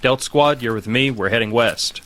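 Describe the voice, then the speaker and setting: A man's voice: medium pitch, moderate pace, a bit bright, natural voice. A man playing an authoritative army major giving clipped orders in an audio drama, over faint ambient noise. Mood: commanding. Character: commanding army major directing a search.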